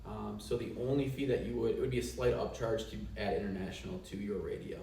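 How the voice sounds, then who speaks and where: A man's voice: lowish voice, quick pace, gravelly, dark tone. Man, echoey and slightly distant. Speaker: company representative co-presenting Q&A. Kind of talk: casual Q&A chat. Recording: echoey, distant microphone, faint noise.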